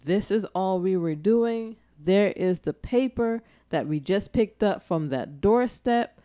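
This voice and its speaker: A woman's voice: medium pitch, expressive, slow pace, warm tone, no room sound, clean studio sound. A woman co-hosting a podcast, telling a story in casual chat and acting out the dialogue as she goes. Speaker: podcast co-host.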